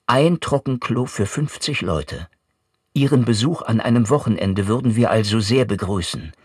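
An actor playing a village mayor who reads out an official petition in a formal, measured manner, with a husky voice, in a radio play. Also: male voice, on the low side, expressive, a little mellow, no room sound, studio quality. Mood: formal, earnest. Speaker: letter reader.

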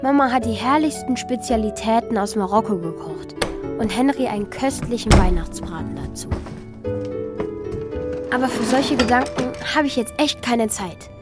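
High-voiced secretive child played by a woman. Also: moderate pace, over loud music, lightly acted. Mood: confiding. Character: Mika, secretive school-age child narrating the story. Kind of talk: children's radio play, first-person narration and dialogue.

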